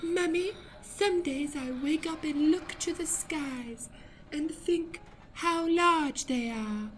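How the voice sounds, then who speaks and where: A woman's voice: sits high, slow, faint music behind, pitched above the performer's own voice, rough recording. A woman voicing a naive, wistful little girl with a dreamy, childlike manner in an improvised radio play.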